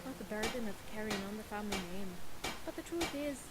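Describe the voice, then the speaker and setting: A woman's voice: mid-range voice, lively intonation, fast, close to an everyday voice. A woman voicing a young female blacksmith in a game, telling of her family forge with earnest, dreamy passion. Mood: passionate. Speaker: blacksmith NPC. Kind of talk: game voice acting. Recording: game audio under noisy commentary.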